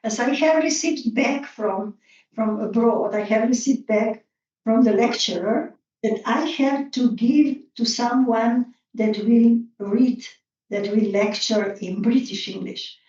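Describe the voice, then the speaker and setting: A woman's voice comes from a distance in heavy echo, slightly dull in sound. She moderates an open discussion informally, with an unhurried pace and a mellow, higher-pitched tone. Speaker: symposium moderator.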